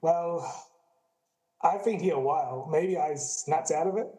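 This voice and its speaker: Man playing a mellow, skeptical buddy. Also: a little high, a little slow, lightly acted. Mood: casual. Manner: casual, skeptical. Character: skeptical, laid-back male friend, a reluctant stuntman. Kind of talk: amateur radio play acting.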